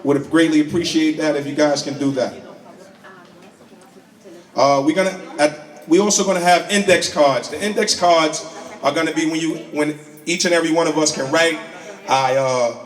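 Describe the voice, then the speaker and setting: A man hosting a public meeting, giving informal, halting instructions and rephrasing as he goes. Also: on the high side, steady tone. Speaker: meeting host. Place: large indoor community hall. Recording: echoey hall, distant microphone.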